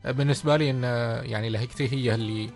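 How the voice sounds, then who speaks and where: Omani man radio co-host, fast easygoing chat, faint music bed. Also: mid-range voice, fairly even intonation, vocal fry, a little mellow. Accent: Omani Arabic.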